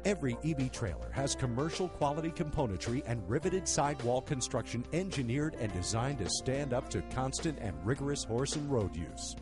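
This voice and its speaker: A male announcer reads a scripted commercial with lively intonation. His delivery is smooth and measured, with music playing underneath. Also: medium pitch.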